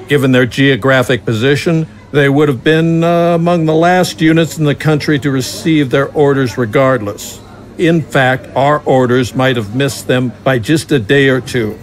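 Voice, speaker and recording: An actor playing a dutiful general in an audio drama, speaking with measured, deferential military formality to a superior. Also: male voice, medium pitch, close to an everyday voice. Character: dutiful male general defending himself to his superior.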